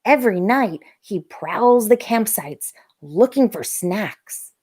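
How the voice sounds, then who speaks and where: A woman's voice: mid-range voice, lively intonation, slow pace, darkish tone, slightly rough. A woman voicing a boy cat, an eager and dramatic storyteller in a children's read-aloud. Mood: excited, spooky. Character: Bob, excited boy cat telling spooky campfire tales.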